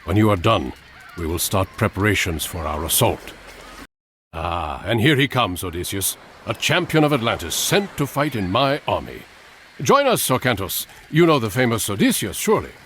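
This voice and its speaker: A man's voice: on the low side, very animated, normal speed, fairly bright tone, a little hiss or hum. An actor playing a self-assured ancient Greek king in a video game, hearty, grandiose and commanding. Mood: welcoming, grand. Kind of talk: video game cutscene acting.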